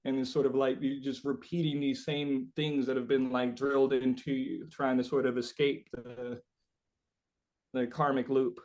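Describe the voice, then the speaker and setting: A man's voice: mid-range voice, level tone, moderate pace, darkish tone. A man being interviewed as a musician, talking casually and reflectively, thinking aloud in a rambling, philosophical way.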